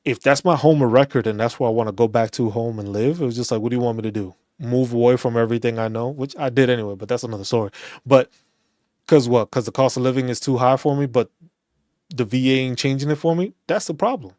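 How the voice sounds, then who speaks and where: Animated New man ranting, dry sound. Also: mid-range voice, fast talker, dry recording. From New York City. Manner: animated, ranting, repeats phrases and restarts. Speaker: veterans' channel host. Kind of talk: casual opinion talk.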